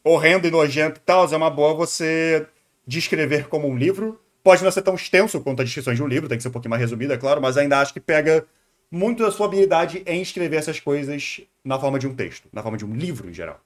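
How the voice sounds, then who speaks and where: A man's voice: fairly high pitch, expressive, quick pace. A man with a Brazilian accent giving casual, off-the-cuff commentary as a streamer, in an informal, slangy way. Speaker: streamer reacting to videos.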